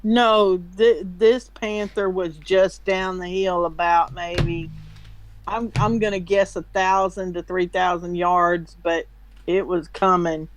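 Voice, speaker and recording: A woman telling a story in a casual voice chat, haltingly, often restarting her sentences. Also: on the low side, fairly even intonation, poor audio. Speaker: caller. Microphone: computer mic in a voice chat.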